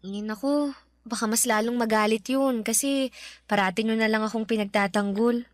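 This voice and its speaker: An actress with a Filipino accent playing a shy, humble teenage housemaid, deferential and hesitant, in a radio drama. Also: female voice, a little high, steady tone, moderate pace, no echo, studio quality, natural voice. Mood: reluctant, pleading. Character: shy, humble nineteen-year-old housemaid, self-doubting.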